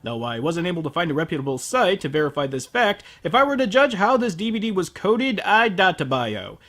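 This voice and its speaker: A man hosting a scripted review show in a high voice with very lively intonation. His delivery is sardonic and emphatic, pitched as comedy commentary. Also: fairly quick. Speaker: anime review show host.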